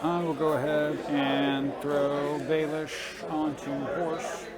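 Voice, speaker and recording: Higher-pitched man in a loud, crowded store. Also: level tone, takes it slowly, a loud crowd. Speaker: tabletop wargame player. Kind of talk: casual game talk. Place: game store during a tournament.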